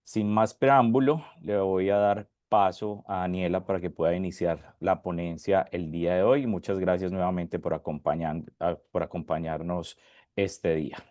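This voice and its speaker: A man's voice: low-pitched, normal speed, dry recording, clean studio sound. A man hosting an online session, formally reading out the speakers' introductions, with occasional slips. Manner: reads guest bios at a steady formal pace, with small slips. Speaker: session host and moderator.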